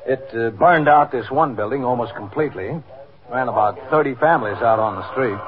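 Actor as a businesslike police captain, tinny radio. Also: male voice, medium pitch, a little fast, natural voice. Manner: formal, procedural, businesslike. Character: matter-of-fact male police captain narrating his shift. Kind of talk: scripted narration in 1950s radio drama. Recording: noisy, tinny 1950s radio recording.

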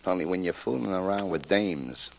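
A man's voice: sits low, normal speed, gravelly. A man playing a tough, self-assured hired gun, terse and blunt, in 1940s radio drama style. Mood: wary, businesslike. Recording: noisy old 1940s radio recording.

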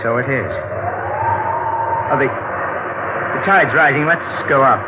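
An actor playing a guarded, secretive husband in a 1940s radio mystery, terse and evasive. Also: male voice, medium pitch, slow pace, natural voice. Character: curt, guarded husband hiding something. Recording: noisy, muffled vintage radio broadcast.

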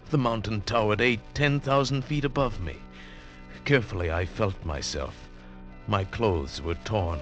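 Intense mountaineer narrating old radio adventure. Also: male voice, deep voice, muffled recording. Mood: subdued, shaken. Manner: dramatic, deliberate phrasing. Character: male mountaineer recounting his ordeal, intense and awestruck. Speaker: narrator and lead actor. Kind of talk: 1940s-50s radio drama narration. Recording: vintage radio recording with music behind.

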